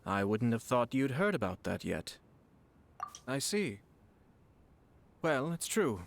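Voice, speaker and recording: An actor playing a composed, courtly head of a noble clan in a video game, speaking in a formal, polished, measured way. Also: male voice, mid-range voice, sing-song. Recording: game audio with music behind.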